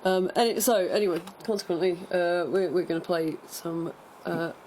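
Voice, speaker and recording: A woman co-hosting a casual radio chat in a halting, sardonic manner. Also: on the low side, fairly even intonation, darkish tone, a little hiss or hum, low-quality recording. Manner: hesitant, sardonic, sweary. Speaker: radio show co-host.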